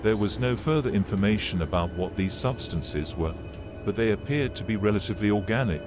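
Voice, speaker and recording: Low-voiced man narrating a grim documentary. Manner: measured, formal, matter-of-fact. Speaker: narrator of an analog horror video. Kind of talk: serious scripted documentary-style narration. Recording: played video with background music. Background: music.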